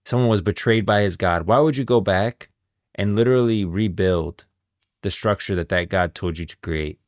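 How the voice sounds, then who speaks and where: A man's voice: low-pitched, normal speed, dry recording, clean studio sound. A man giving casual, enthusiastic livestream commentary on game lore, speaking emphatically and in slangy language. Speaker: live streamer, lore commentator. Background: music.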